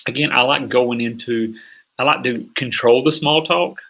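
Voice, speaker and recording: A husky middle-aged man delivers a sales lesson with a mid-range voice. He talks with energy and emphasis, and he keeps checking in with his listeners as he coaches. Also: medium pitch, normal speed, poor audio. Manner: energetic, emphatic, acts out sample calls. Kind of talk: online course lecture.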